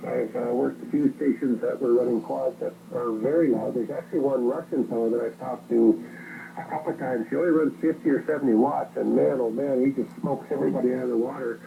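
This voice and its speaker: A man's voice: mid-range voice, fairly even intonation, fairly quick, gravelly, narrow, tinny audio. A Canadian man chatting casually as an amateur radio operator, in a rambling, conversational way, heard over a radio transmission. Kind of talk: casual ham radio contact. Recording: noisy radio transmission captured by phone.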